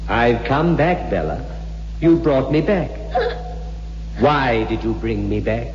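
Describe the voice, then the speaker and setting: A mournful dead husband risen from the grave in a radio horror play. He speaks slowly and hollowly through long, echoing reverb on a muffled old recording. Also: medium pitch, expressive, background noise. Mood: mournful reproach. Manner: slow, hollow. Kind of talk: 1960s radio horror acting.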